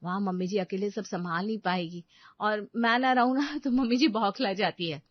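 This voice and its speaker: A woman's voice: medium pitch, normal speed, no room sound, highs cut off, natural voice. A woman voicing a dutiful, emphatic young daughter-in-law in a family drama. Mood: reassuring. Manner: earnest, emphatic.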